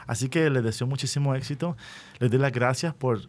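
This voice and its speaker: A man with a Puerto Rican accent hosting an unscripted radio interview, speaking deliberately and often checking in with short rhetorical questions. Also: medium pitch, a little mellow. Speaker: radio host and school educator.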